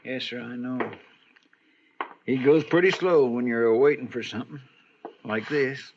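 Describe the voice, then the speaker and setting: A man's voice: mid-range voice, measured pace, natural voice. An actor playing a loyal, plain-spoken male deputy with a folksy, respectful drawl in an old-time radio western. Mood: uneasy, respectful. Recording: hissy, muffled old-time radio recording.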